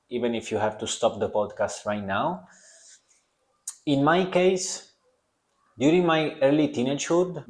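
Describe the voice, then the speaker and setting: A man's voice: mid-range voice, reverberant. A young man with a strong Italian accent, hosting a solo podcast in earnest, reflective talk aimed at the listener. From Italy. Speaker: podcast host.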